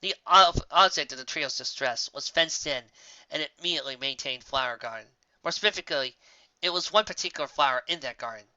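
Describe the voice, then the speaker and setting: A woman's voice: sits low, brisk, pushed and bright, no echo. A woman reading a scripted story as narrator, expressively, on a slightly dull home recording.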